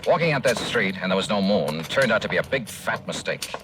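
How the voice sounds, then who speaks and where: An actor playing a quick, wry insurance investigator in a 1950s-style radio detective drama. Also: male voice, on the low side, animated, over music, lightly acted. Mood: wry narration. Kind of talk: 1950s radio drama acting with first-person narration. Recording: noisy old radio recording, clicks.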